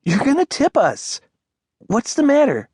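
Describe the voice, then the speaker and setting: Woman as a bold boy, husky and lively. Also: high voice, unhurried, no room sound, studio quality, heavily acted. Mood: urgent. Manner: urgent, confident, exclaiming. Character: bold, stubborn, adventurous young boy. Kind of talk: audiobook character acting.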